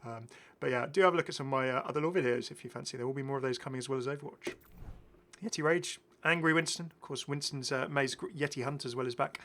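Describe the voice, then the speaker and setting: A man with a bright, forceful voice at a middle pitch hosts a gaming video. His commentary is casual and enthusiastic, full of chatty asides. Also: fast. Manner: chatty, prone to chuckling asides.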